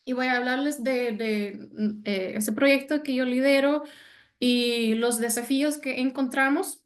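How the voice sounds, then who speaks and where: Slow, high-voiced woman lecturing in careful Spanish. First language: not Spanish. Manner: hesitant, many fillers, careful non-native Spanish. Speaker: guest speaker, senior analyst. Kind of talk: online lecture presentation.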